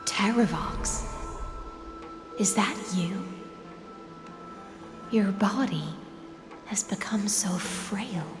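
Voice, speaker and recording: A woman playing a composed, grave female warrior in a fantasy video game, speaking in a measured, formal way. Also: mid-range voice. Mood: somber concern. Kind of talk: dramatic video game acting. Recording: echoey long reverb, music behind.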